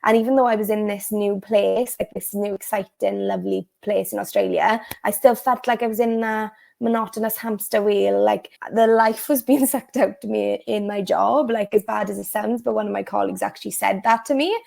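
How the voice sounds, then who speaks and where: A woman's voice: mid-range voice, fairly even intonation, moderate pace, gravelly, hardly any pauses, mellow. A woman from South Wales with a Welsh accent, telling her story as a guest in a casual interview, full of "like" and "um" fillers. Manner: chatty, many "like" and "um" fillers. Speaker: life coach guest.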